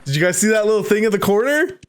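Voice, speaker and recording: A man hosting a casual let's-play, reacting out loud to the game and chatting to his viewers. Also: sits high, expressive, fast talker. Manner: reactive, interjecting, talking to viewers. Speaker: gaming YouTuber host. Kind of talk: casual let's-play commentary, reading game text aloud.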